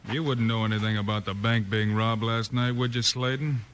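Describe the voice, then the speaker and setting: Man playing calm, commanding western marshal, medium pitch. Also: lightly acted. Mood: cool. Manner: measured, firm. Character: calm, commanding heroic male frontier marshal. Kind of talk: 1950s radio western acting. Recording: noisy old 1950s radio recording.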